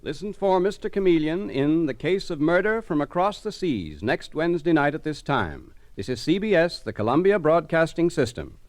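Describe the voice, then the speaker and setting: A man's voice: fairly high pitch, sing-song, moderate pace, few pauses. A male radio announcer with a polished, emphatic 1940s delivery.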